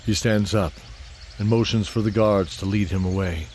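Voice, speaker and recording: A man narrating a scripted fantasy audio drama in a deliberate, atmospheric storytelling style. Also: on the low side, fairly even intonation, a little mellow, slight background noise.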